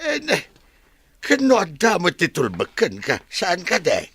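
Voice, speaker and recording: A man acting a weary, fretful middle-aged father in an audio drama, expressive, with a Filipino Ilocano accent. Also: high voice, unhurried, slightly rough, no echo, exaggerated voice. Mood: alarmed.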